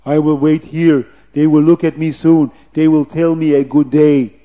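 Man acting out a pompous, loud, bullying officer. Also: on the high side, level tone, moderate pace, highs cut off, close to an everyday voice. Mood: pompous, insistent. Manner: self-important, stilted broken English. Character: pompous, bullying male officer, vain and easily angered. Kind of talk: children's storytelling character voice.

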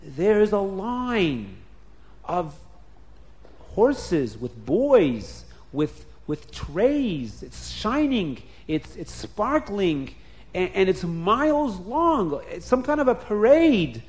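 A man voicing an awed palace messenger in a children's story, halting and wide-eyed as he reports to his king. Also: high-pitched, big pitch swings, mellow, pitched above the performer's own voice.

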